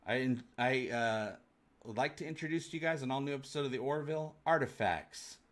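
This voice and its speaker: A man's voice: medium pitch, a little fast, fairly bright tone. A man hosting a fan livestream, chatting casually and enthusiastically with his viewers.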